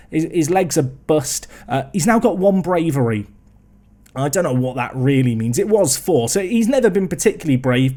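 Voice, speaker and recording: Young man, slightly high-pitched, chatty gaming commentary, self-mocking asides. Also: warm tone. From England. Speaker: gaming video host. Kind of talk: casual game commentary.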